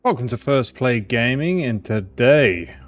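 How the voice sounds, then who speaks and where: A man's voice: mid-range voice, lively intonation, a little slow, dry recording. A man hosting a gaming channel, doing let's play commentary and reading game text aloud. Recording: music loud behind the voice. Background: game music.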